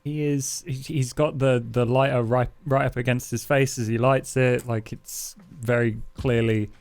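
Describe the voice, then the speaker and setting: A man's voice: medium pitch, flat delivery, measured pace, a little mellow. Laid-back man rambling in character. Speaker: player. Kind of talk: in-character roleplay.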